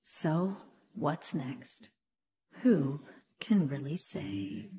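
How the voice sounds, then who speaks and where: A woman voicing an earnest, resolute young heroine in a video game, with emotive, deliberate delivery. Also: low-pitched, big-room sound, very noisy. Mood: solemn, reflective.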